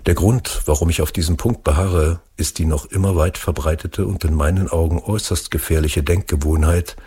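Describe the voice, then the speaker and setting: A man reading an essay excerpt aloud in a measured, deliberate way, with a husky voice. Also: deep voice, fairly even intonation, dark tone.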